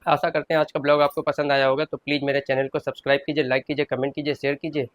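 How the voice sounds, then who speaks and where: A man's voice: mid-range voice, level tone, very fast, no room sound, highs cut off. A man in his thirties, a shopkeeper and father, chatting casually to viewers through a family vlog. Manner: chatty, addresses viewers as friends. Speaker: vlogger, shop owner and father.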